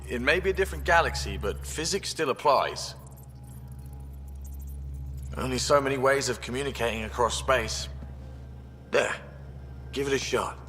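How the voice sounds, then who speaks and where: Starship engineer, game role male actor: crisp forceful edge, medium pitch, brisk and dry, reverberant. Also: soft background music, lightly acted. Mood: matter-of-fact, focused. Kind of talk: video game acting. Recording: long reverberant hall echo.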